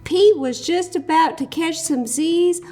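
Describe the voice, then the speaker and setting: A woman reads aloud to children, expressive and encouraging, speaking directly to young listeners. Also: extremely high pitch, slow pace, a little hiss or hum. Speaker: children's book reader, show host. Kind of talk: animated read-aloud storytelling for children.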